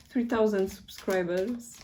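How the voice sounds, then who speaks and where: Slow-talking woman with a Polish accent. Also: medium pitch, warm tone, a little hiss or hum. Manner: hesitant, searching for words, laughs often. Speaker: tarot reader. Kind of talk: casual tarot reading.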